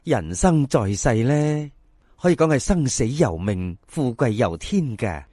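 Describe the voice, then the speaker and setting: A chatty old village mother from a Cantonese folk tale, acted out by a man. The delivery is folksy and persuasive, full of swoops, with a slightly husky edge. The recording is dry and close. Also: medium pitch, sing-song, a little slow, dark tone, no echo. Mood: philosophical, scolding. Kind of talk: dramatised folk storytelling.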